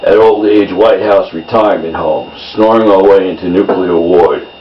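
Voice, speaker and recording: A man reading his own poems in a measured delivery, in short phrases. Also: sits low. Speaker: poet. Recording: roomy, distant mic, dull sound, faint noise.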